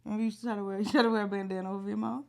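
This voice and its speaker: A woman's voice: medium pitch, steady tone, very fast, gravelly. A woman in her thirties co-hosting a podcast, teasing her husband with dry comebacks. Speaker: podcast co-host. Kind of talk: casual chat.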